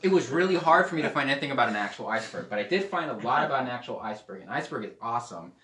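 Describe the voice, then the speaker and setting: A young man preaching a sermon at a whiteboard, lively and asking his audience questions. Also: medium pitch, very fast, far from the mic. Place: indoor room with whiteboard and podium. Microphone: far camera. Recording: roomy echo, voice away from the mic.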